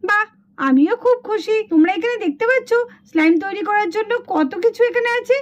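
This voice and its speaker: A woman's voice: very high-pitched, animated, brisk. A woman voicing an instructive schoolteacher doll in a children's puppet cartoon. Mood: cheerful, instructive. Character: female schoolteacher doll running a contest. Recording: dull lo-fi sound.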